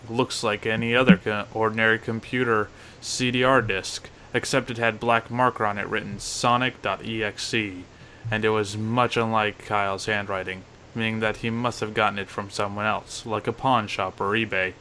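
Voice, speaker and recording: Bright, low-voiced man narrating horror. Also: level tone, normal speed, slight background noise, low-quality recording. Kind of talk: dramatic first-person creepypasta reading. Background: music under the narration.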